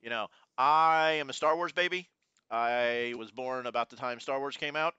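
A man's voice: mid-range voice, moderate pace, bright, forceful tone, smooth, no echo, muffled recording. A man in his forties from Houston, co-hosting a podcast and telling rambling stories with many fillers. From Houston, Texas. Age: 40s. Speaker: podcast co-host. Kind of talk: casual chat.

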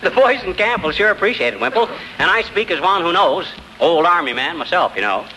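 Bright, blustering radio windbag. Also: male voice, high voice, lively intonation, fairly quick. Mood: boastful. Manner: boastful, rattling off tongue-twisting alliteration. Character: blustering boastful husband, folksy, fast-talking windbag. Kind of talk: 1940s radio comedy acting. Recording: noisy old radio broadcast in a hall.

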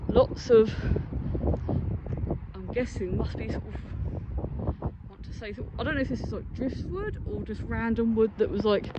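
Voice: mid-range voice, normal speed, long pauses, highs cut off. A British vlogger giving a casual, hesitant, rambling commentary while walking, recorded outdoors on a handheld phone. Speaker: urban exploration vlogger. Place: open derelict site outdoors. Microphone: handheld phone, no headset. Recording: noisy windy outdoor phone audio.

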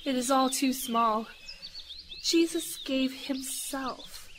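A woman's voice: sits high, slow pace, noisy background. A woman playing a devout, humble lady missionary in an audio drama, earnest and formal in her phrasing.

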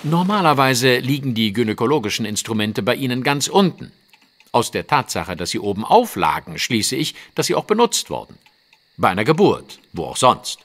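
A man's voice: medium pitch, big pitch swings, moderate pace, fairly bright tone. A man playing a brilliant Victorian detective in an audio drama, speaking in a dry, precise and superior way. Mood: smug, precise. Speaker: lead character in an audio drama. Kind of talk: German audio drama acting.

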